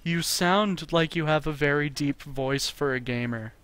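A man's voice: fairly high pitch, a bit bright, smooth, dry recording. A young man casually chatting over co-op gameplay, enthusiastic and jokey. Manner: enthusiastic, jokey, quick reactions. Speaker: gaming channel host.

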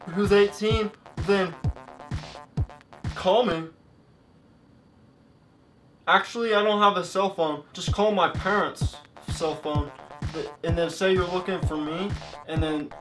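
A young man of eighteen speaks in a slightly high voice while vlogging to a camera in his bedroom. He rambles and brags in a goofy way. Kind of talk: comedy vlog. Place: bedroom with gaming setup.

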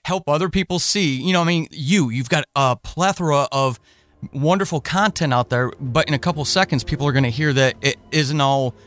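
A man's voice: a little high, brisk, fairly bright tone, dry recording. A man hosting a casual podcast interview, talking in an earnest, rambling way.

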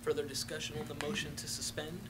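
Fast-talking male council president, noisy recording. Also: on the high side, monotone. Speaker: council president chairing meeting. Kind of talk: formal meeting procedure. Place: large council chamber.

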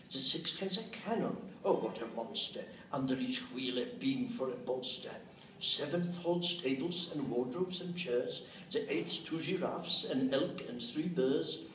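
A man's voice: a little high, a bit bright. Elderly man, roomy distant recording. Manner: rhythmic, emphatic delivery when reciting. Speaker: translator reading own verse translation. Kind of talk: expressive poetry recitation with spoken commentary. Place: indoors, meeting room. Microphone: camera or phone a short distance away. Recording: roomy, distant mic with faint noise.

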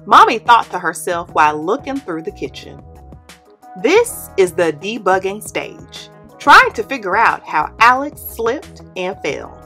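A young woman, host of the channel, reading a children's picture book aloud in an expressive, animated way. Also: medium pitch, unhurried, soft background music. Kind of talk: read-aloud storytelling. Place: indoor studio with plain orange backdrop.

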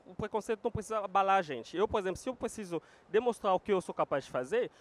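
A man's voice: high voice, animated, very fast. A man speaking emphatically and with animation into a handheld mic at a panel. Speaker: panel guest.

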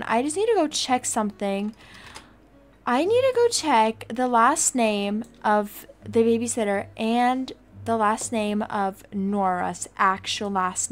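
Casting: woman as a worried, chatty adoptive mum in game roleplay; high-pitched, unhurried, thinks aloud over music. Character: worried adoptive mother, chatty, casual, easily alarmed. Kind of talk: improvised video game roleplay.

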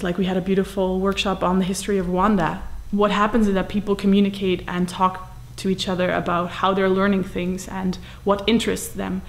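A young woman speaking to camera as host, gentle and earnest in a plain indoor room. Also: medium pitch, creaky. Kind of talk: casual talk to camera.

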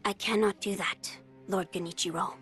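A woman's voice: mid-range voice, steady tone, a bit bright, close to an everyday voice. A woman voicing a young boy heir in a video game, noble and composed, speaking formally and with resolve. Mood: calm, defiant. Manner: formal, measured. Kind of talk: dramatic video game voice acting. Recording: music behind the voice.

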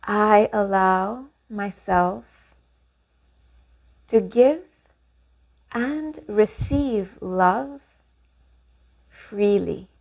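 A woman leading a guided meditation, giving gentle, measured instructions with pauses between phrases. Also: mid-range voice, creaky, poor audio.

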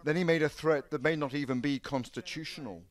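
A man voicing a news report as correspondent, measured and grave in delivery. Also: on the high side. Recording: loud crowd and street noise behind.

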